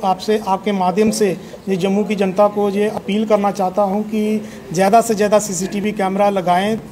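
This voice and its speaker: A middle-aged male police officer giving a formal press briefing, speaking in a measured way with frequent hesitations. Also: sits high, darkish tone, a little hiss or hum. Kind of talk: press conference statement. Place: indoor police station room. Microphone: table of press microphones.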